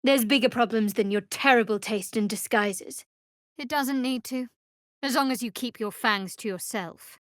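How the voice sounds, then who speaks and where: A woman playing a guarded, sardonic young cleric of a dark goddess in a video game, speaking in a dry, wry manner. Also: a little high, normal speed, dry recording, close to an everyday voice. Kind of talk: video game character voice lines. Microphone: close studio microphone.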